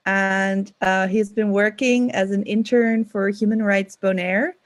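A woman moderating an online talk over a video call, speaking with frequent pauses and fillers. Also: medium pitch, steady tone, no echo, clean studio sound. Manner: hesitant, many ums and uhs.

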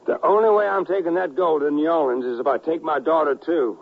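An actor playing a gruff, stubborn western colonel in a radio drama, with husky, rough frontier speech that halts when he is troubled. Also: a little high, brisk. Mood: stubborn, determined. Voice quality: gravelly, husky. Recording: dull lo-fi radio recording.

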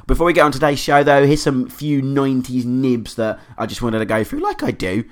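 A man hosting a podcast, hoarse from a cold, chatting in an enthusiastic, rambling way. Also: mid-range voice, big pitch swings, a little fast. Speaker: podcast host. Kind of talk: casual podcast monologue.

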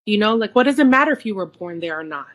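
A woman's voice: medium pitch, animated, quick pace, fairly bright tone. A middle-aged woman in casual conversation, candid and emphatic, repeating words for emphasis and sometimes mixing in Spanish. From San Francisco, United States. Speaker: podcast co-host.

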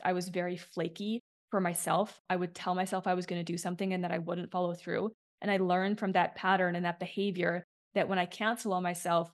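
A woman hosting an unscripted solo podcast as a coach. She sounds slightly raspy from a chest infection and talks candidly and reflectively, often checking in with "right?". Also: medium pitch.

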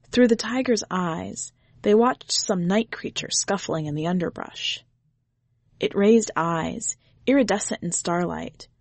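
A woman's voice: mid-range voice, dry recording. A woman reading a short story aloud as a podcast host, in scripted narration.

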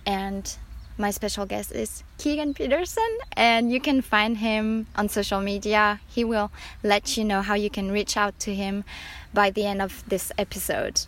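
Cheerful woman host doing the podcast intro, gushy and upbeat, middle pitch. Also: fairly even intonation, a little slow. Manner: upbeat, gushing.

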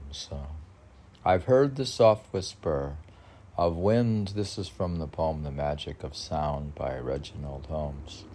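A man rambling through a podcast of chat and poetry reading, halting and often talking to himself in questions and answers. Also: rumbling low, creaky, warm tone, slight background noise. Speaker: poet reading and chatting on own podcast.

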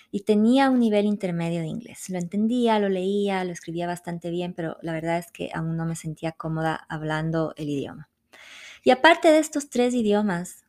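A middle-aged woman from Ecuador, calmly narrating a scripted personal story as a podcast host. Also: fairly low pitch.

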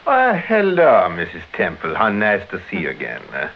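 A polite gentleman in a radio play, fussily precise and hesitant. His low voice rises and falls freely. The sound is old and muffled. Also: huge pitch swings, exaggerated voice. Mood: guarded politeness. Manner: polite, halting. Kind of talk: British radio drama acting. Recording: dull, hissy old radio recording.

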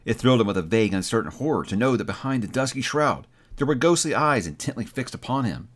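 A man narrating an audiobook in a dramatic, expressive and deliberate storytelling style. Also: medium pitch.

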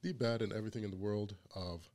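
A man with a deep baritone voice hosting a casual barbecue podcast, joking and laughing through the banter. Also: fast talker, darkish tone. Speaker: podcast host.

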